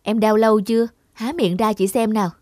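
Actress as young cartoon woman, caring elder sister, coaxing, gentle, higher register. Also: fairly even intonation, a little fast, dry recording, clean studio sound, close to an everyday voice. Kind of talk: cartoon voice acting.

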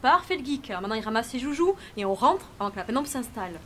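A woman's voice: a little high, sing-song, racing, sharp tone, slight background noise. An actress playing a bossy, menacing young female bodyguard in an audio drama, blunt and scolding. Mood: taunting.

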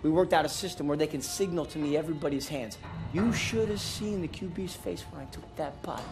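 An actor playing a cocky, wisecracking young American soldier in a war video game, with brash, teasing banter. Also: male voice, a little high, brisk, creaky, over music. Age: young adult. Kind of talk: cinematic war game acting.

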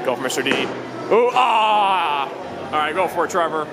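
Young Midwestern male vlogger, high voice, excitable play-by-play; handheld camera in a noisy arcade with loud music. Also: normal speed. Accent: Midwestern American. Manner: excitable, cheering on friends. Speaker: vlogger host. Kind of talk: casual arcade vlog commentary. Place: indoor arcade bowling alley.

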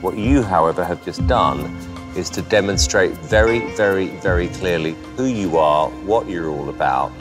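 A man giving earnest, deliberate feedback as a talent show judge, repeating words for emphasis. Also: low voice. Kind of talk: earnest spoken feedback to a contestant. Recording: music under a TV talent show.

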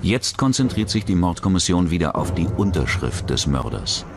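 A man's voice: low voice, sing-song, normal speed, over music. A man reading scripted true-crime narration as the narrator of a documentary.

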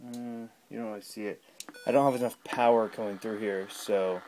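A man giving a casual, unscripted gadget demonstration at home, talking in a halting, rambling way. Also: lowish voice, fairly even intonation. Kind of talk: casual unscripted product demo. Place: small room at home. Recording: clear background noise with clicks.

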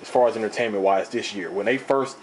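Rambling man with a slightly raspy voice. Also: fairly low pitch, fast talker, slight background noise. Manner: rambling, animated storytelling. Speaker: video host. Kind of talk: casual unboxing talk. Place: indoor room, table top.

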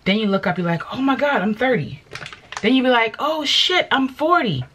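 A woman's voice: fairly high pitch, big pitch swings, normal speed, a bit bright, a little hiss or hum. A middle-aged woman vlogger chatting casually and fussing as she gets ready, filmed at close range in a home studio. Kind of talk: casual vlog chat. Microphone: camera at close range.

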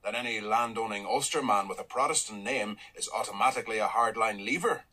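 An actor playing a blunt, wry middle-aged dairy farmer with a Northern Irish accent, in a radio drama. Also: male voice, on the low side, brisk, sharp tone. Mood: wry, stubborn.

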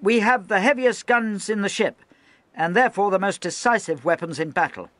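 A man voicing a young, anxious naval lieutenant who barks shrill, clipped orders. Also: brisk, a bit bright, dry recording. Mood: shrill commanding.

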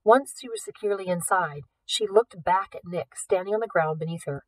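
A woman reads fiction aloud in an expressive narrating style. Her pitch is average for a woman. The recording is dry, with her a little back from the microphone. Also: fairly quick, no echo, distant mic, low-quality recording. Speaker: audiobook reader.

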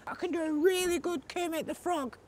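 A man's voice: very high voice, steady tone, brisk, noisy background. A man with a British accent putting on a cheeky, childish little boy voice for a comedy prank call. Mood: pleading, playful. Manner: cheeky, giggly, playful. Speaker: prank caller. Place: outdoors on stone steps.

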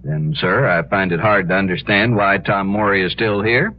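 A man's voice: on the low side, steady tone, moderate pace, natural voice. An actor playing an officious, self-righteous townsman in a 1950s radio western, speaking in a formal, insistent way. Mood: insistent, indignant. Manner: formal, pompous, pressing. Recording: dull, noisy 1950s radio recording.

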